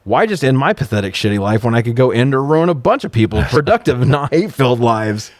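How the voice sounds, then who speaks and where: A man's voice: medium pitch, very animated, quick pace, dry recording. An American man co-hosting a casual comedic movie recap, sarcastic and freely swearing. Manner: profane, sarcastic. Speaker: podcast co-host.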